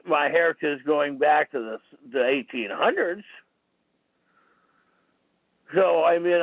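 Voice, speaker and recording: A man's voice: high-pitched, creaky, no room sound. A man hosting a call-in talk show, talking in a rambling, repetitive, argumentative way. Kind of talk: rambling call-in radio monologue. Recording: tinny phone-line call-in audio.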